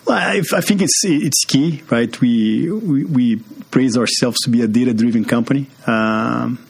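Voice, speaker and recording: A man's voice: mid-range voice, measured pace, vocal fry, slightly rough. A man from Brazil with a Brazilian accent, a guest in a business podcast interview, speaking with many fillers and restarts. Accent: Brazilian Portuguese. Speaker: guest, marketing executive.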